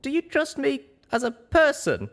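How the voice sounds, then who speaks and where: A man's voice: extremely high pitch, wildly swooping pitch, moderate pace, fairly bright tone, voice pushed up, full character voice. A man voicing an anxious young female pony heroine in an audiobook story, asking things earnestly and uneasily.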